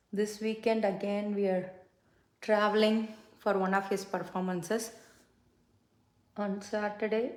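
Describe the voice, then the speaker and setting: A woman's voice: medium pitch, darkish tone. A middle-aged woman whose first language is Tamil, chatting casually with many fillers as she does her makeup on a livestream. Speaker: makeup consultant and livestream host. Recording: echoey room.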